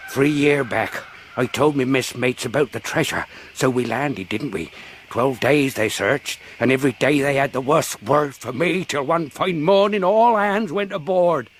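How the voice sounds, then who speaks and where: An actor playing a half-crazed marooned old sailor in a radio drama, rambling and repeating himself. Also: male voice, medium pitch, a little slow, slight background noise, close to an everyday voice. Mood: rambling, aggrieved.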